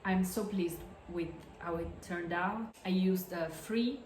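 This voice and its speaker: A woman with an Italian accent talks slowly through her knitting projects, her English halting and full of fillers and restarts. Her voice sits a little low, and the room around her rings with echo. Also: a little mellow, a little hiss or hum. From Italy. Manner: hesitant, halting, many fillers and self-corrections. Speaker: podcast host. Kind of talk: casual show-and-tell of crafts. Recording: echoey room.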